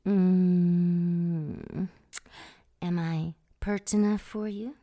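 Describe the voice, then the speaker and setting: A woman's voice: on the low side, steady tone, very slow, dull audio. A woman playing a sultry, flirtatious femme fatale in an audio drama, with a velvety, teasing purr. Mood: seductive. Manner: purring, playful seduction.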